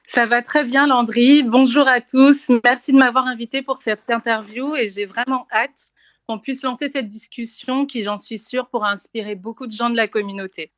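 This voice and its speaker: A woman's voice: a little high, steady tone, moderate pace. A young woman in her twenties from French Guiana speaks as a podcast guest. She is articulate and earnest. Her voice reaches us as dull, muffled audio, dry with no room echo. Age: 20s. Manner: articulate and earnest, with occasional fillers. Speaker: interview guest, entrepreneur. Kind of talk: conversational interview.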